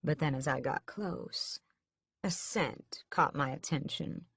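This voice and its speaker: A woman's voice: low-pitched, takes it slowly, fairly bright tone, slightly rough, no room sound, studio quality, full character voice. A woman voicing a suave, sinister male vampire captor who drawls with languid, mocking menace in an audiobook.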